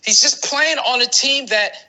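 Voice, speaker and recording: A man's voice: very high-pitched, measured pace, pushed and bright. Man co-hosting a casual sports podcast. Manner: rambling, emphatic, repeats himself for effect. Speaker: podcast co-host. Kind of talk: casual fantasy basketball podcast banter.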